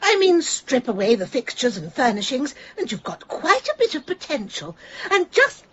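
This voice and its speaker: A woman's voice: high-pitched, very animated, moderate pace, a bit bright, breathy edge, dull audio, exaggerated voice. A woman playing a snobbish, condescending village neighbour in a radio comedy, with an affected, pompous manner of speech. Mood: gushing, smug. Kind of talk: radio comedy drama acting.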